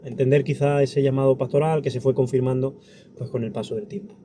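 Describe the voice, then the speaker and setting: A man's voice: mid-range voice, fairly even intonation, fast talker, mellow, a little hiss or hum. A man from Andalusia with a Spanish accent from Spain, answering as a pastor in an interview in an earnest, reflective way.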